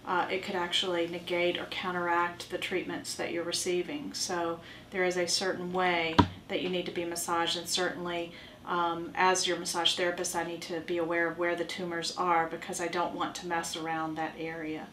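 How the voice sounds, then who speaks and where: A woman playing a reassuring oncology massage therapist in an amateur role play, explaining in a halting way. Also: fairly low pitch, completely flat delivery, a bit bright, lightly acted. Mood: reassuring, explanatory. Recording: roomy, distant mic with faint noise.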